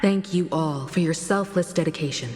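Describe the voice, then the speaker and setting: An actress playing a composed, courteous starship crew leader in a video game, speaking in a measured, formal way. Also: female voice, low-pitched, darkish tone, in a large hall, close to an everyday voice.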